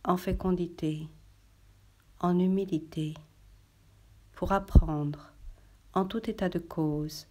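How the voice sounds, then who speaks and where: Slow, low-voiced woman reading poetry. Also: fairly even intonation, background noise. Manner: deliberate phrasing in short, separated phrases. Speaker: poet reading poetry aloud. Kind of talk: literary poetry reading, measured and solemn.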